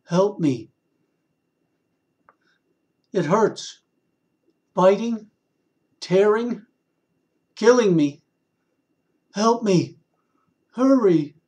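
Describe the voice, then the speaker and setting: A man's voice: high voice, lively intonation, very slow, pauses often, darkish tone, voice pushed up, full character voice. A man voicing a terrified, wounded creature from a story, pleading urgently in short broken phrases. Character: terrified wounded creature crying out for help in thought.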